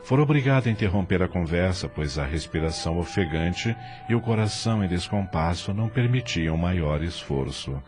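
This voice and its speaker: Narrator wanted: deep-voiced man, expressive and varied intonation, dramatic scripted delivery for an audio novel. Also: normal speed, few pauses. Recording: music bed behind narration.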